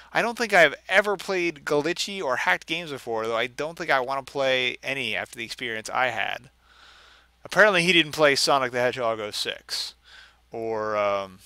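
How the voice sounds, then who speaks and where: A man with a very bright, forceful voice reads aloud and comments casually in a game stream. His delivery swings widely in pitch, sarcastic and exasperated, and the sound is close and dry. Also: clear, resonant tone, no echo. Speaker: video game streamer reading a story aloud. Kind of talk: mocking dramatic reading with casual commentary.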